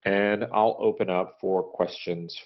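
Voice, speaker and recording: Low-voiced man on a dull conference call. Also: steady tone. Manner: halting, measured business delivery with frequent hesitations. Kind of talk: corporate earnings call presentation and question answering, unscripted.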